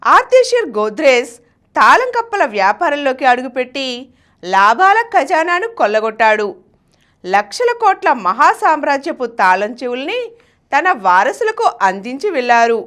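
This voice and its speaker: A woman with a Telugu accent presenting scripted biographical narration in an expressive, emphatic storytelling manner. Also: high voice, moderate pace. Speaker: channel presenter reading a biography.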